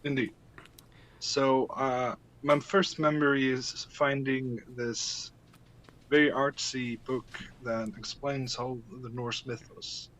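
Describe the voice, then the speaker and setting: A man's voice: medium pitch, little pitch movement, takes it slowly, a bit bright, poor audio. An Icelandic man, a native Icelandic speaker, talking as a podcast guest in a casual interview, hesitant, with many fillers and restarts.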